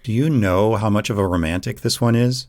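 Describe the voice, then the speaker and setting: A man plays a devoted boyfriend in an intimate audio drama. His voice sits a little low. He is gentle and earnest, with a casual, conversational delivery. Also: a little fast, close to an everyday voice. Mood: casual, fond. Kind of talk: amateur audio roleplay acting.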